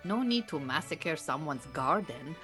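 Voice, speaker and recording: An Iranian refugee woman, a patient and earnest beekeeper in an audio play. Her voice sits low for a woman. She phrases things in a measured, dry way, with music playing faintly behind her. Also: expressive, a bit bright. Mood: earnest, firm. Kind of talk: naturalistic audio drama dialogue.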